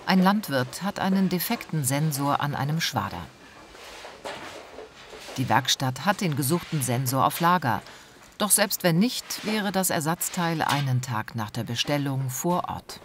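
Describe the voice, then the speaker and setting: A woman reading a scripted documentary voice-over in a calm, measured, informative way. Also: low-pitched, a little hiss or hum. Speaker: documentary narrator.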